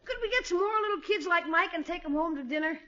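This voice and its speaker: An old muffled radio recording of an adolescent boy with a very high, bright voice. He is brash, slangy and good-hearted, exclaiming in comedy style. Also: quick pace. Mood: eager. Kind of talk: 1950s radio comedy acting. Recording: muffled vintage 1950s radio broadcast.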